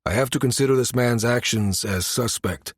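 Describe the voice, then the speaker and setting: A man plays a firm station security chief in a science fiction audiobook. He speaks with measured, matter-of-fact authority at a middle male pitch. The recording is very dry. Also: no room sound, lightly acted. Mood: firm, explanatory. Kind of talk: audiobook character dialogue.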